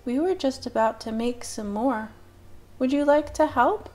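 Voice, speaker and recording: A woman's voice: high voice, animated, measured pace, darkish tone, voice pushed up. A woman reading a storybook and voicing a small, shy little boy who wails over his losses. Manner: wailing, then shy and kind.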